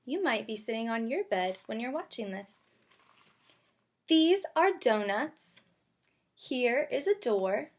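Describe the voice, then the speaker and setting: A woman's voice: fairly high pitch, big pitch swings, takes it slowly, pauses often. A woman kindergarten teacher giving a read-aloud lesson, speaking simply and instructively and addressing young children directly. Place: bedroom at home.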